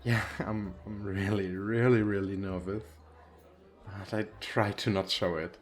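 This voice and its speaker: A man's voice: lowish voice, steady tone, measured pace, lightly acted. A man acting a shy, nervous, wealthy businessman on a speed date in an ASMR roleplay, talking in a hesitant, rambling, self-deprecating way. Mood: shy, earnest. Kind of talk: ASMR roleplay, intimate flirty chat.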